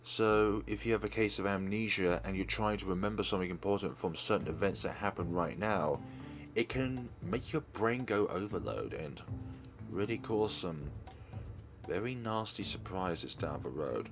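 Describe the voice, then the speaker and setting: A man's voice: low-pitched, moderate pace. A man hosting a let's play, reading a visual novel's text aloud and dropping casual asides between the lines. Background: faint game music.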